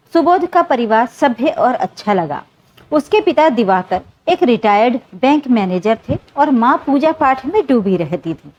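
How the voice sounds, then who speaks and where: A woman's voice: sits high, a little mellow. A woman narrating a scripted story in an emotive, dramatic way. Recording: dull, lo-fi sound.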